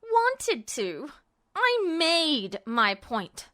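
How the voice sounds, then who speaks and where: A woman's voice: high voice, wildly swooping pitch, very slow, sharp tone, full character voice. A woman voicing a bitter, unhinged male villain, smug and sneering, in an audiobook story. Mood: gloating. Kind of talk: audiobook-style character acting.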